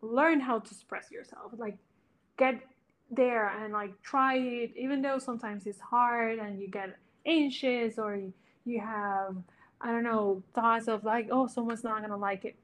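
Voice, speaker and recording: A woman's voice: fairly high pitch, steady tone, a little slow. Encouraging woman. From Latin America. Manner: warm, earnest, searching for words. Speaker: podcast guest, interior designer. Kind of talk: casual chat, encouraging advice.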